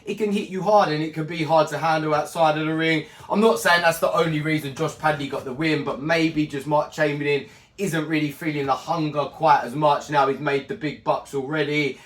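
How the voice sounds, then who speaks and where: Hoarse, croaky man chatting about boxing. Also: fairly high pitch, fairly even intonation, fairly quick, fairly bright tone, echoey room. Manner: chatty, rambling, self-correcting. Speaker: boxing channel host. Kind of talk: casual fight recap monologue.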